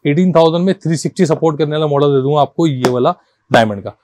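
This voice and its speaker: A man gives a casual, persuasive sales pitch as a shop seller, often repeating himself and mixing languages informally. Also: fast talker, darkish tone, slight background noise. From India. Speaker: car accessories shop seller and presenter.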